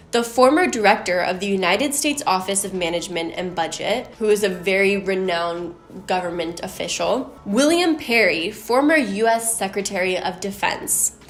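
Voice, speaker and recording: A woman tells a story in a relaxed, chatty way, pausing for asides to the listener. Her voice sits a little on the deep side. The room around her is echoey. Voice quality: somewhat deep voice. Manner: chatty, conversational, musing asides. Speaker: host. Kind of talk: casual storytelling commentary. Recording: roomy echo.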